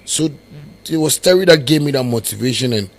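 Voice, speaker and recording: Husky-voiced Ghanaian man. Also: mid-range voice, expressive, brisk. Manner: code-switches into Twi and Pidgin; rambling, filler-heavy storytelling. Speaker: musician guest. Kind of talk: casual interview storytelling.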